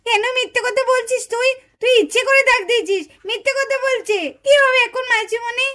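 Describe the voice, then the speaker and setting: A woman's voice: extremely high pitch, a little fast, pushed and bright. A woman voicing a wronged, plaintive, accusing little girl in a puppet cartoon. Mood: upset, accusing. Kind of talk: Bengali puppet-show cartoon acting.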